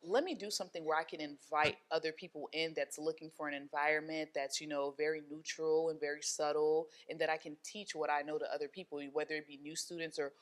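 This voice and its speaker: A woman's voice: low-pitched, flat delivery, fast, few pauses. A young adult woman from Haiti, a hair studio owner, talking casually in an interview with many fillers and restarts.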